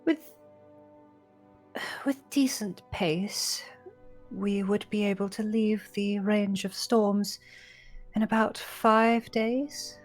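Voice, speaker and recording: A woman's voice: mid-range voice, steady tone, takes it slowly, long pauses, soft background music. A woman talking casually as a player in a tabletop roleplaying game.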